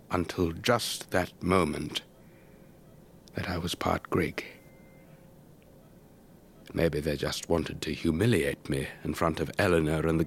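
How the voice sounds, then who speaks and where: An actor playing an elderly English retired air force officer, telling his story in a measured, wistful, old-fashioned way for a radio drama. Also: a bass voice. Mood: pained, reflective. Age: older. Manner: measured, old-fashioned, reflective, breathy trailing phrases. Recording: faint background noise.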